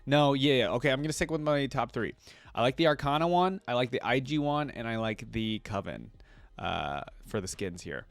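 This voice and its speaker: A man's voice: medium pitch, lively intonation, moderate pace, no echo, faint music behind. A man hosting a reaction video, chatting casually and thinking aloud in an informal way.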